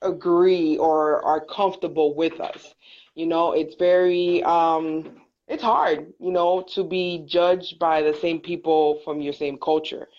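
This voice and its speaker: A woman's voice: low-pitched, steady tone, takes it slowly, darkish tone, dull audio. A woman from Miami calling in to a talk show, hesitant and searching for her words. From Miami, United States. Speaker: caller. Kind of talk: casual phone interview.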